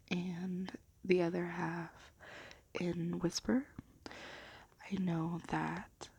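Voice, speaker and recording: Woman, soft-spoken ASMR, lowish pitch, creaky vocal fry, breathy, hushed, gentle. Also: no echo. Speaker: ASMR video host and storybook reader. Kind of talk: soft-spoken and whispered ASMR, chat and bedtime storybook reading.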